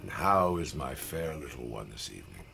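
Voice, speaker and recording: An actor playing a courtly, slyly playful middle-aged commander in an audio drama, teasing in manner. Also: male voice, very deep voice, very animated, normal speed, gravelly, music in the background, heavily acted.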